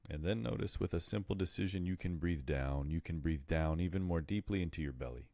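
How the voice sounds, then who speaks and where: A man with an extremely low, mellow voice guides a meditation. He speaks in soothing, measured phrases and leaves deliberate pauses. The sound is dry and close, with no room around it. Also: vocal fry, no echo.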